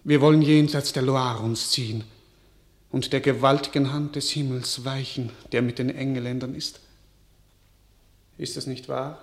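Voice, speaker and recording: Slow, despairing young king. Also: medium pitch, vocal fry, roomy sound. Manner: declamatory, solemn. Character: despairing, weary young king resigned to defeat. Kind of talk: classical German stage verse radio drama.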